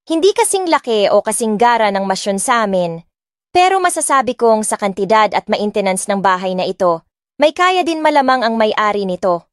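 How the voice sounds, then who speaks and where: A woman's voice: mid-range voice, no room sound, clean studio sound. A woman with a Filipino accent reading dramatic first-person scripted narration as an audiobook narrator. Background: soft music.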